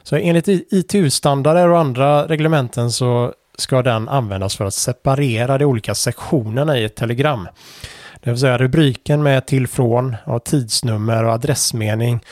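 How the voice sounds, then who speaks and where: A man calmly explaining Morse code conventions in a casual tutorial, pausing often with fillers and restarts. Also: medium pitch, no echo, clean studio sound. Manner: relaxed, hesitant with frequent fillers and restarts. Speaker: amateur radio instructor.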